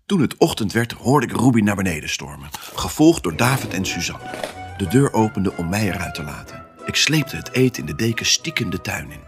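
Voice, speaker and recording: A male actor voicing an excitable pet dog who tells his own Christmas adventure in an expressive, theatrical family audio drama style. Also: low-pitched, a little fast, over music. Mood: playful, secretive. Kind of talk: first-person storytelling in a family audio drama.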